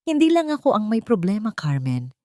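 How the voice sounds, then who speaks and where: A woman's voice: medium pitch, very animated, brisk, warm tone, no echo, voice pushed up, lightly acted. A woman plays an earnest, troubled businessman in an audio romance. He talks in a controlled, direct way and keeps his composure. The sound is dry and close. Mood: concerned, earnest.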